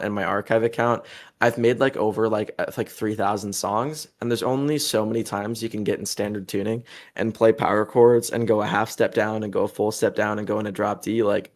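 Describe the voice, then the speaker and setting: A man in his twenties, a musician answering an interview in a rambling, heated way, full of fillers and crude insults. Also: fairly low pitch. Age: 20s.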